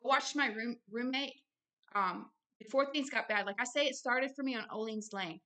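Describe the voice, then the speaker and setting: High, bright-voiced woman teaching earnestly. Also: normal speed. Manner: earnest, insistent, repeats phrases for emphasis. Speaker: host and religious teacher. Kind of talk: informal religious commentary, reading aloud with personal asides.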